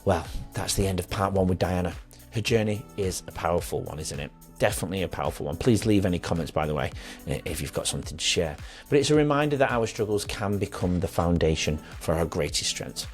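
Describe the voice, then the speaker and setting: A fairly low-voiced man who hosts a podcast. He talks in an earnest, rambling, encouraging way, and faint music sits quietly behind him. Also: expressive, fast talker. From England. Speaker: podcast host. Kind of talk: casual interview chat and scripted outro.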